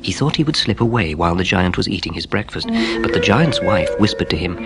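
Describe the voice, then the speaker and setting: Guy narrating a kids bedtime story, lowish voice, really lively delivery, music under it. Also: fairly quick, vocal fry. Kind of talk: expressive scripted children's storybook narration.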